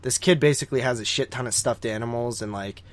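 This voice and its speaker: A man's voice: mid-range voice, quick pace, slight background noise. A man hosting a horror podcast, reviewing films in casual, slangy chat with plenty of swearing. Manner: slangy, swearing, frequent "like" and "dude". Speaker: horror film podcast host.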